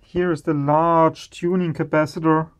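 A man hosting an electronics teardown video, slowly and admiringly commenting on the parts he finds. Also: a little high, level tone. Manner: slow, admiring, savoring each part.

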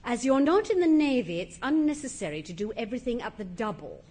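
A woman's voice: on the high side, very animated, a little fast, darkish tone, slightly rough. An actress playing a poised, exacting upper-class English lady of the house in a drawing-room comedy, arch and precise. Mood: brisk, patronising. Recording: live theatre recording with faint noise.

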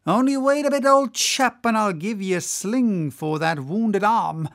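An audiobook reader voicing an excitable, hot-headed whaling mate, frantic and begging in exclamations. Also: medium pitch, measured pace, voice pushed up, close to an everyday voice. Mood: taunting. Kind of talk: animated audiobook character voice.

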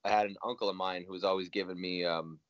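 A man's voice: low voice, fairly even intonation, quick pace, no room sound, highs cut off. A young man from Iowa, talking casually and rambling with many fillers and restarts on a video call from a small room at home. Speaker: guest musician. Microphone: video call webcam.

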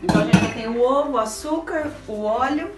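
A woman's voice: high-pitched, a little fast, heavy background noise. A middle-aged woman, chatting patiently through a home cooking demo with a child and explaining steps to viewers. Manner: chatty, patient, coaxing and encouraging. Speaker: cooking show host and aunt. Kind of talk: casual cooking demonstration with a child. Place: small home kitchen, indoors. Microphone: camera held by a helper a few feet away. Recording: roomy kitchen, distant camera, music behind.

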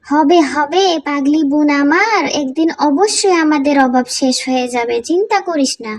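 A responsible young village girl, the caring big sister in a cartoon , voiced by a woman. She speaks in a high-pitched, soothing, motherly way. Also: normal speed, close to an everyday voice. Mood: reassuring. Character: caring, responsible elder daughter of a poor farmer. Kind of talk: cartoon voice acting.

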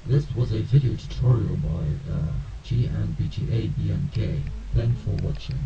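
A man reading a short video sign-off through layered pitch-shift and echo effects that make him sound demonic. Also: moderate pace, gravelly, background noise. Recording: dull, echoey, distant playback with music-like drone.

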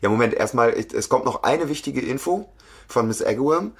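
A man's voice: mid-range voice, lively intonation, brisk, husky. A man co-hosting a podcast, chatting casually as he discusses a story. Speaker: podcast co-host. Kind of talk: casual banter about an audio drama series.

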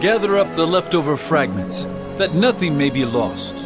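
An actor playing Jesus as a commanding, reassuring teacher in a slow, declamatory dramatized scripture reading. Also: male voice, fairly high pitch, big pitch swings. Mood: calm command. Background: loud music.

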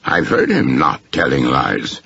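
A man voicing a chatty, gravelly, excitable artist. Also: extremely low pitch, unhurried, no echo, highs cut off, full character voice. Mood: breezy. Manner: chatty, eager. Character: breezy, excitable male artist hosting a club dinner.

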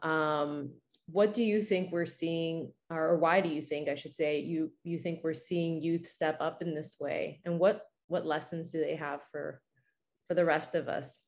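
A woman's voice: low-pitched, fairly even intonation, measured pace, a little mellow. A middle-aged woman hosting a formal online event as moderator, speaking over a video call. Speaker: host, moderator. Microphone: video call computer mic.

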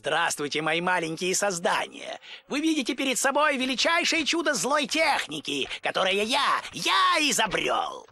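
Bitter mad inventor, gravelly and sharp, wild swings, music behind. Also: male voice, sits high, huge pitch swings, normal speed, hardly any pauses, exaggerated voice. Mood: ranting. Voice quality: ranting, theatrical. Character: pompous mad scientist, bitter jealous inventor. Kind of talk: Russian game dub acting.

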